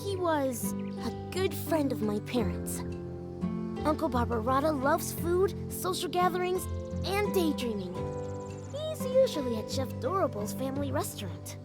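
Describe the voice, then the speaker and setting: A woman voicing an eager young sprite who dreams of knighthood in a video game, earnest, childlike and excitable. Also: sits high, measured pace. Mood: earnest, helpful. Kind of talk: animated video game voice acting. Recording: prominent background music. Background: game music.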